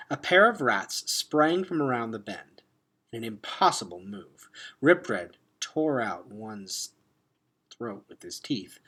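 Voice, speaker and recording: A man reading a children's adventure novel aloud like a teacher, expressive and dropping into hushed tones for suspense. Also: mid-range voice, husky. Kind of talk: dramatic read-aloud narration of a children's novel.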